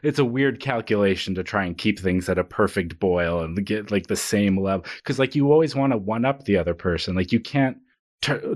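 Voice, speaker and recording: A man's voice: on the low side, darkish tone, dry recording, clean studio sound. A man co-hosting a podcast, talking casually and informally as he thinks aloud. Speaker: podcast co-host. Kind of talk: casual conversational discussion.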